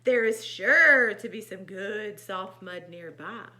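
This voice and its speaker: A woman's voice: mid-range voice, slow, bright, forceful tone, slight background noise. Woman voicing a small, exclamatory storybook pig. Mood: searching. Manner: exclamatory, emphatic. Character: small fussy mud-loving young pig in a storybook.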